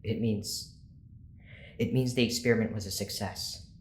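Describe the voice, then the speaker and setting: Man acting low-voiced, controlled doctor, echoey room. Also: fairly even intonation, natural voice. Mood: intent, amazed. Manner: deliberate, formal. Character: controlled, intent male research doctor in charge. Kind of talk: audio drama acting. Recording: echoey room with faint noise.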